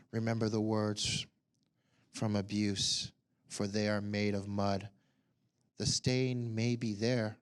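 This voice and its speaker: A man reciting spoken word poetry in a measured, solemn way. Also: fairly low pitch. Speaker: poet.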